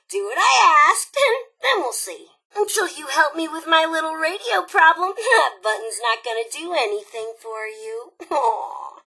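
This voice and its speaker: Female robot raider, bright and forceful, husky edge, sly, sarcastic, playful, video game character. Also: on the high side, exaggerated voice, rough recording. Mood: teasing, distrustful. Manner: playful, sarcastic, slangy, giggling taunts.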